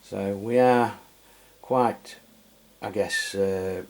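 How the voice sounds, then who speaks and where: A man hosts a casual hands-on review in a deep voice. He talks in a matter-of-fact, rambling way, feeling for his words as he goes. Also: steady tone, drawn-out words, a little mellow. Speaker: radio review host.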